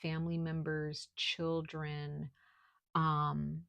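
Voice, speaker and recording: A woman with a low, gravelly voice reads tarot for her viewers in a loose, chatty way. She talks quietly at times and keeps asking "okay?" as she goes. The sound is dry and close, with no room echo. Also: monotone, extremely slow, lo-fi sound. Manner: speaks softly at times, lots of "like" and "okay?". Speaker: tarot reader. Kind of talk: casual spiritual reading to camera. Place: at home, noise outside.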